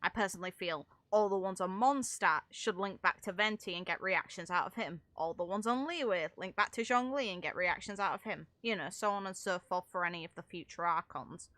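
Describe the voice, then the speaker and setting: A woman streams her reactions to a game in a casual, animated way. Her voice is bright and forceful at an average pitch, recorded close and very dry. She talks informally and swears freely. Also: a little fast, hardly any pauses, dry recording. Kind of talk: casual, unscripted gameplay reaction commentary.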